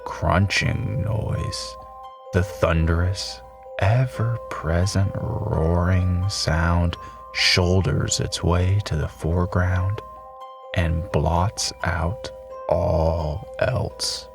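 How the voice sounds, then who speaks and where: A man reading scripted dramatic story narration in a measured, solemn and deliberate manner. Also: sits low, steady tone, gravelly, far from the mic, over music. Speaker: audiobook narrator.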